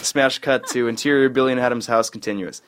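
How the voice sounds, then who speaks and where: A man's voice: medium pitch, steady tone, brisk, fairly bright tone, smooth. A man reading out stage directions as a narrator at a comedy script table read.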